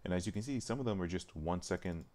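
A man's voice: low-pitched, quick pace, a little mellow. A man livestreaming casually as he works, muttering to himself and thinking aloud. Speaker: streamer. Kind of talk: casual livestream chat while working.

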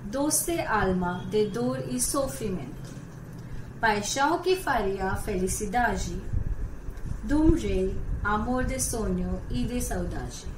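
A woman's voice: medium pitch, animated, takes it slowly. A young adult woman reading a poem aloud in a recited style. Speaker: poetry reader. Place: indoor room at home. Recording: roomy, distant, noisy phone recording.

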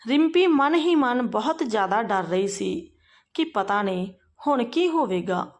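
A woman narrating an emotional family story from a script. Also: a little high.

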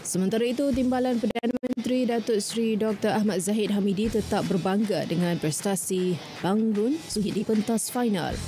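Brief: young female sports anchor, even mid pitch, formal studio read with background music. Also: moderate pace, hardly any pauses. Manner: steady, formal delivery. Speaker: sports news presenter. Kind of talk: scripted news reading. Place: TV news studio. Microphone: studio mic. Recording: news music bed underneath.

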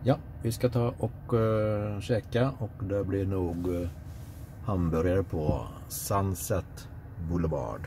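A Swedish man narrating a casual road-trip vlog, relaxed and hesitant with many fillers. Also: low-pitched. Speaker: vlogger on a car road trip. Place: electric car on the road and at charging stops. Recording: clear car and road noise.

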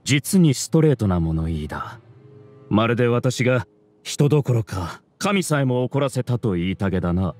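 A man's voice: mid-range voice, big pitch swings, normal speed, a little mellow, faint music behind, lightly acted. Man acting arrogant, theatrical villain scholar, sweeping intonation. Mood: mocking. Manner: grandiose, lecturing, theatrical. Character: arrogant male scholar villain, mastermind of a hive mind. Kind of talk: Japanese video game voice acting.

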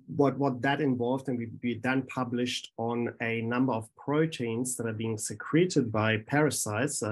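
A man in his thirties with a German accent talks through his research on a video call. He speaks haltingly, filling gaps with ums and restarting phrases. His voice sits at an ordinary middle pitch and carries the sound of a small office. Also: rough recording. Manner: hesitant, many ums and restarts. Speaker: research scientist. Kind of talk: academic presentation over video call.

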